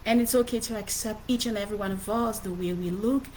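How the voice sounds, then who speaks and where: A woman's voice: mid-range voice, fast talker, darkish tone, a little hiss or hum, poor audio. A middle-aged woman with a Cameroonian accent gives an informal motivational livestream talk as an author, speaking earnestly and emphatically and often repeating phrases. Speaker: author presenting her book.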